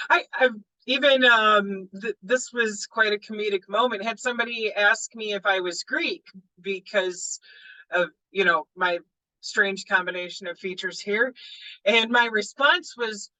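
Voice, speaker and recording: A lively book club member in casual discussion, laughing often and swearing between crude jokes. The voice is bright and sharp-edged at an average pitch. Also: slow, poor audio.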